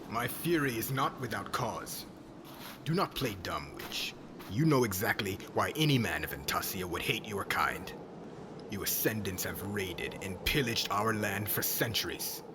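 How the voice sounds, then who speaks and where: Casting: male actor as stern witch hunter for ASMR roleplay, low-pitched, cold, breathy, bitter, over clearly audible background noise. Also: close to an everyday voice. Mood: angry, contemptuous. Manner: cold, commanding, brooding.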